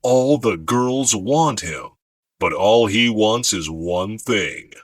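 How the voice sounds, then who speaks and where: A man voicing a bombastic 1980s game trailer announcer with husky, punchy, dramatic hype. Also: lowish voice, lively intonation, slow, over a noisy crowd. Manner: hyped, punchy, dramatic promotional patter.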